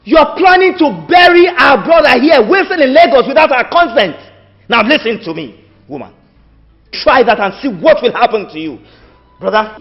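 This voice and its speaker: A man's voice: very high voice, very animated, moderate pace, full character voice. A man with a Nigerian accent playing an outraged uncle, exclaiming and accusing his brother's widow in a melodramatic film. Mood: outraged, threatening. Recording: very echoey, dull audio, music behind.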